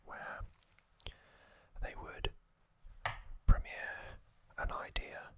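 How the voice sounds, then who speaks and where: A man whispering trivia as an ASMR host into a close microphone, softly, with frequent ums and uhs. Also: very slow, no room sound. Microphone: close sensitive mic.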